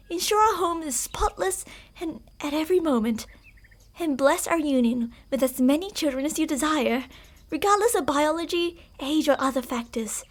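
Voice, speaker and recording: A woman voicing an obsessive female fox spirit in a borrowed human body, speaking in a calm, formal, quietly manipulative way that dips into whispers. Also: sits high. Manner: soft-spoken, dipping into whispers. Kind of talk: ASMR roleplay.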